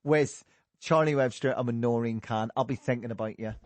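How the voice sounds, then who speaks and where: A man hosts a loose remote podcast chat, quick to joke and laugh, often tripping into restarts. His voice sits at an ordinary middle pitch. The sound is dry and close. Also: no echo. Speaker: podcast host. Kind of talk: casual panel chat.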